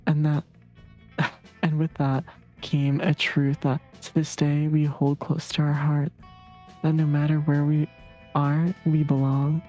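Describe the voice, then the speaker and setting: A woman's voice: low voice, little pitch movement, unhurried, pauses often, dark tone, faint music behind. A woman streamer chatting casually and reading game text aloud on a livestream.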